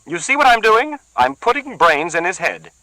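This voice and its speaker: A man voicing a loud, sarcastic woman who needles everyone with sour jabs. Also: very animated, moderate pace, no room sound, full character voice, low-quality recording. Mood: demanding. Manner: shrill, jabbing, sour sarcasm.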